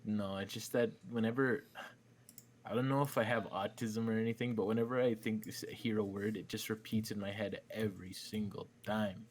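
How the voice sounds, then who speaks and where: A man's voice: fairly low pitch, steady tone, normal speed. A young man from Canada, chatting on a podcast in a rambling way, swearing a lot and restarting his sentences. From Manitoba, Canada. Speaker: small YouTuber and podcast co-host. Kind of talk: casual chat.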